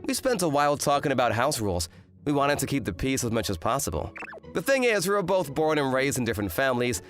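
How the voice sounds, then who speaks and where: A man's voice: medium pitch, sing-song, fast, a bit bright, soft background music. A man playing an exasperated eighteen-year-old student in a manga rom-com dub, complaining and narrating with indignant drama. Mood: wry narrating. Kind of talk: manga dub acting and first-person narration.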